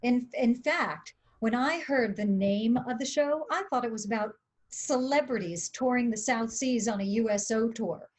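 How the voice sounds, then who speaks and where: A woman's voice: on the high side, normal speed, lightly acted. A woman playing a shrewd, dryly skeptical studio boss in a screenplay table read.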